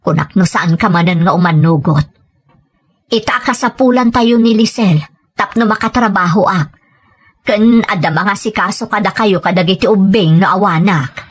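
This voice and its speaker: A woman's voice: mid-range voice, normal speed, close to an everyday voice. A woman playing a pressured, determined wife and mother in an Ilocano audio drama, speaking insistently with a Filipino accent. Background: music.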